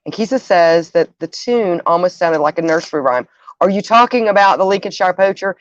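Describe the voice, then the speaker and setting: A woman's voice: on the low side, animated, fairly quick, highs cut off. A woman hosting a show, presenting partly from notes and sometimes stumbling over words and correcting herself. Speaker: show host.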